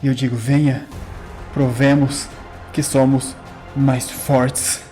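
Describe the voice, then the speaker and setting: Man acting a corrupted power-hungry man, tabletop fantasy audio drama, exultant, solemn declamation, slow delivery, faint music. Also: medium pitch, little pitch movement, warm tone, close to an everyday voice. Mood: commanding, exultant.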